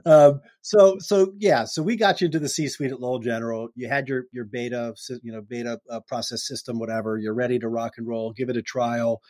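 A man's voice: mid-range voice, steady tone, a little mellow, no echo. Man hosting a casual interview, dry sound. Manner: loose, restarting, upbeat with quick laughs. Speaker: podcast host.

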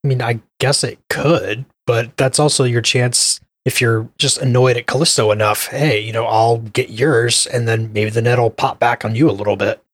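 A man's voice: mid-range voice, fairly even intonation, moderate pace, few pauses, a bit bright, slightly rough, dry recording. Male podcast panelist, mid-range voice, dry close recording, casual chat. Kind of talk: casual chat, reviewing a cartoon.

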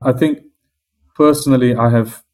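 Measured optimistic businessman with foreign accent. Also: medium pitch, fairly even intonation, darkish tone. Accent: non-British English accent. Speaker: investment company executive. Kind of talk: business interview answers.

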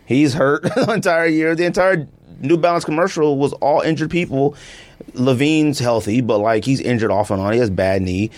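A man's voice: mid-range voice, normal speed. A man hosting a casual sneaker podcast, talking in an opinionated, teasing way. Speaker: podcast host.

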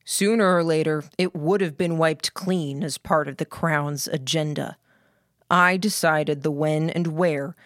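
A woman voicing a quiet, hard, calculating male military commander in an audiobook. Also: deep voice, no echo, studio quality.

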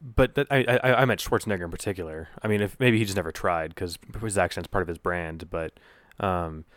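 A man co-hosting a podcast, rambling in a relaxed, amused way. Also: deep voice, a little fast, no echo. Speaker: podcast co-host. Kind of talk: casual discussion.

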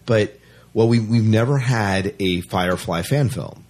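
A man's voice: lowish voice, measured pace, a little mellow. A man hosting a podcast, chatting casually and emphatically as he gives a review. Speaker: podcast host reviewing a fan film.

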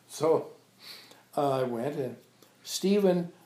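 Animated male storyteller in an echoey room. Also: fairly high pitch, takes it slowly. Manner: animated anecdotes, acting out remembered dialogue. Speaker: abbot and spiritual teacher. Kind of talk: informal reminiscing to visitors. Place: echoey indoor room at an ashram. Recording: roomy, echoey sound.

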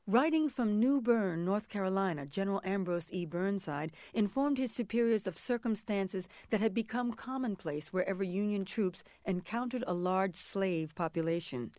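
A woman reads scripted historical narration. Her voice sits at a middle pitch, and the sound is dry and close, with no room around it. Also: normal speed, hardly any pauses, a little mellow, no echo.

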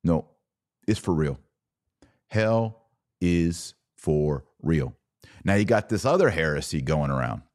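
A man's voice: low voice, lively intonation, slow pace, long pauses, a little mellow. A man hosting a podcast, giving a blunt, informal religious commentary in an emphatic, preachy way. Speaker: podcast host.

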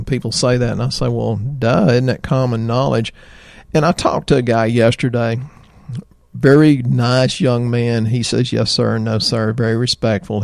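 A middle-aged man with a Southern American accent, a doctor chatting in a folksy, rambling way about health, his voice slightly hoarse. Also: medium pitch, darkish tone, dry recording. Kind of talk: health talk show chat.

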